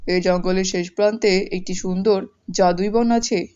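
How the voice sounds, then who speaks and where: A woman's voice: medium pitch, fairly even intonation, moderate pace, warm tone, clear, resonant tone, dull audio, pitched below the performer's own voice, natural voice. A woman voicing a male village woodcutter in a cartoon fairy tale, in a confiding manner.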